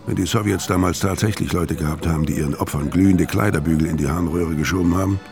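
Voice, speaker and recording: Hard-boiled crook telling his story, low voice, deadpan, music underneath. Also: fast, creaky. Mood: wry, hard-boiled. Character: hard-boiled, world-weary male career criminal narrating his story. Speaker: first-person narrator. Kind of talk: noir audio drama narration.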